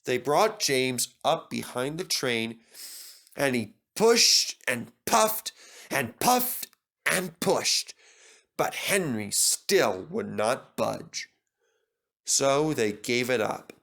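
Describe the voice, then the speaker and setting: Male storybook narrator, middle pitch, very animated intonation, unhurried delivery. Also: vocal fry. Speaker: storybook reader. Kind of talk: scripted children's story narration.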